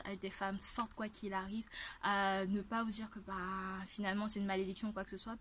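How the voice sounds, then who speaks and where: Female vlogger, twenties, from Ivory Coast: mid-pitched, fairly flat delivery, sincere and conversational personal storytelling. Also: slight background noise. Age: 20s. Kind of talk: personal testimony, casual talk to camera.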